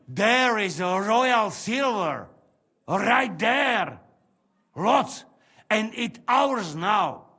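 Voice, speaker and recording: Coaxing mercenary. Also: male voice, high voice, bright, forceful tone, exaggerated voice. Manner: broken English, coaxing and persuasive. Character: brash male mercenary from the north, broken English. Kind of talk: video game cutscene acting.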